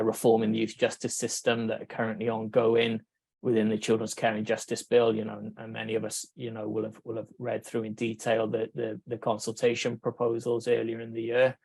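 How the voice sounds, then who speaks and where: A Welsh man, a researcher, giving a conference talk in a measured way, thinking aloud with fillers and restarts. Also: on the low side, gravelly, no room sound. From Wales. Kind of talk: conference presentation.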